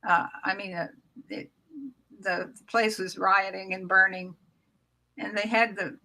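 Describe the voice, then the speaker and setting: Older american lady from oklahoma, guest writer chatting about her past, bright crackly voice. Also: mid-range voice, level tone, measured pace, gravelly. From Oklahoma, United States. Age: 70s. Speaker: guest author. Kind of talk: casual reminiscing in an interview. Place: small room at home, indoors. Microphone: computer mic on video call.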